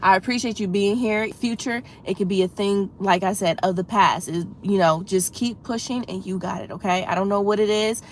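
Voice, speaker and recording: Woman chatting on a livestream, stuffy froggy voice from a cold, lots of "you know", faint rumble behind her. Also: moderate pace, hardly any pauses. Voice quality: slightly froggy voice. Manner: earnest, encouraging, talks straight to chat with many "you know"s. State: congested with a head cold. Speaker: livestream host. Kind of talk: casual livestream chat.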